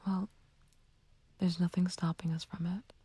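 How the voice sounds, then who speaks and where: A woman playing a flirtatious, taunting villain in an ASMR roleplay, speaking softly and breathily in a teasing, coaxing, languid way. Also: fairly low pitch, level tone, measured pace, dry recording. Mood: intimate, playful. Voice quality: breathy, whispery, soft. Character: flirtatious, taunting female villain sweet on a captive friend. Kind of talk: ASMR roleplay, intimate scripted acting.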